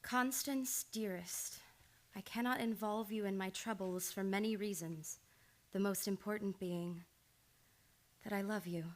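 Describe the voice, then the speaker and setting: A woman acting in an old-time radio drama as a measured, determined psychiatrist in love. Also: medium pitch, fairly even intonation, close to an everyday voice. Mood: composed. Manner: measured, controlled, earnest. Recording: live radio play with music behind.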